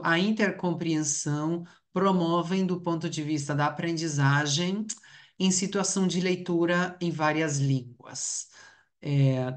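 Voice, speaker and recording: A man speaks as an academic researcher at a conference. His delivery is formal and explanatory. He hesitates often as he sets out each point. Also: fairly high pitch. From Uruguay.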